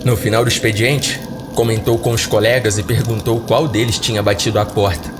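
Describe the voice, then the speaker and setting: A man's voice: on the low side, flat delivery, fairly quick, background noise. A man with a Brazilian accent narrating scripted horror stories as a podcast host. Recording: loud background music. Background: music.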